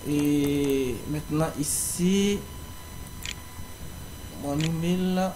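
A young man giving a screen-recorded walkthrough of an online application, pausing often with fillers as he explains each step. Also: a little high. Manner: halting, frequent fillers. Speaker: tutorial presenter. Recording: faint background noise.

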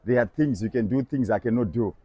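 A man's voice: medium pitch, a little fast, dark tone, breathy edge. Earnest young male street preacher outdoors. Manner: earnest, persuasive, repeats verses back line by line. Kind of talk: street evangelism conversation. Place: busy outdoor shopping street.

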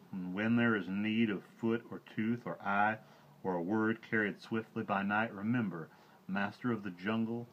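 A man gives voice to an aged male bear, a patient teacher who speaks in grave, old-fashioned phrases. The voice sits low. It comes through a dull recording with faint hiss behind it. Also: natural voice.

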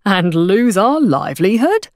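A woman voicing a grinning male hawker for an audiobook, slick and taunting, with loud wheedling sales patter. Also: mid-range voice, very animated, a little slow, dry recording, clean studio sound. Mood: pushy. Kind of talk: audiobook character voice.

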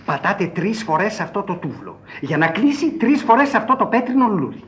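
An obliging sewer workman explains things in a confiding, knowing way. The voice swoops with great animation, in radio-play style, over faint rumbling noise. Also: big pitch swings. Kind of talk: radio theatre acting.

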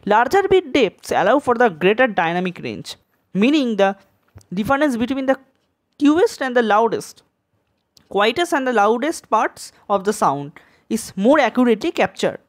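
A woman's voice: on the high side, sing-song, moderate pace. A woman presenting a tutorial in a scripted, educational narration style.

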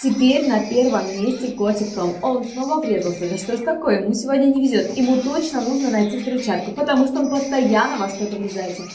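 A woman's voice: on the high side, animated, brisk, few pauses, warm tone, a little hiss or hum. A young woman hosting a gaming channel for children, chatting through the play and addressing young viewers with affectionate pet words. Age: young adult. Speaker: host of a kids' gaming channel. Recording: roomy echo under background music. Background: music.

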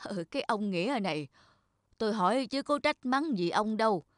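A woman's voice: mid-range voice, expressive, moderate pace, no echo, studio quality, close to an everyday voice. Woman playing a fussing elderly cartoon mother. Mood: puzzled. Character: forgetful, affectionate elderly wife and mother. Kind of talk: cartoon voice acting.

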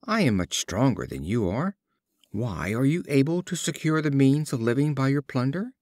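Bragging suitor bird, lively intonation from a man. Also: mid-range voice, moderate pace, no echo, clean studio sound. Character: boastful male kite bird wooing an eagle.

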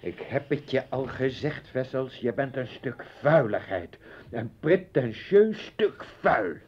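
Husky, contemptuous educated man. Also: mid-range voice, animated, a little mellow. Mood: cold contempt. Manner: scornful, deliberate. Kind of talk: Dutch radio play acting. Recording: dull old radio drama recording.

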